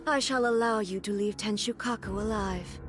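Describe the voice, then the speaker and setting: A woman's voice: medium pitch, moderate pace, background noise, close to an everyday voice. A woman playing a stern, regal godlike shogun in a video game, speaking solemnly and imperiously. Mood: cold, solemn. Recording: background music under the game dialogue.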